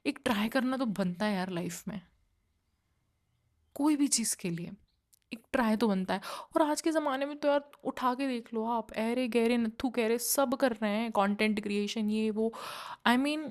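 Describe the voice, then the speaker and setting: A woman's voice: on the high side, moderate pace. A young woman from India talking straight to her viewers in a chatty, earnest way, switching between Hindi and English. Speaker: vlogger. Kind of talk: casual talking-to-camera vlog.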